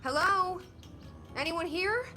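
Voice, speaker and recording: A woman acting a guarded, determined young heroine in a video game, in a low-key, searching manner. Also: lively intonation, slow, sharp tone, heavily acted. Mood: wary.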